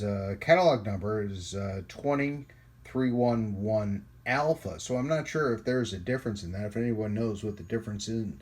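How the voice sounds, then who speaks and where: A man giving a casual hands-on product demonstration as a channel host, rambling and pausing often as he goes. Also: lowish voice, darkish tone. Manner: halting, rambling delivery with frequent pauses. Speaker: host of a radio hobby channel. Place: indoors at a hobby workbench.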